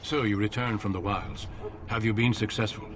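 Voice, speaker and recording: A man playing a grave veteran leader of a warrior order in a fantasy game, measured, formal and authoritative. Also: fairly low pitch, noisy background, close to an everyday voice. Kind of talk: scripted fantasy game dialogue.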